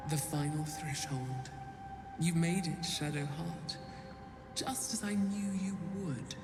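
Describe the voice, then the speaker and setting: A woman voicing an assured, coaxing dark goddess in a video game, measured and regal. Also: deep voice. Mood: coaxing, satisfied. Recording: cavernous long reverb, music behind.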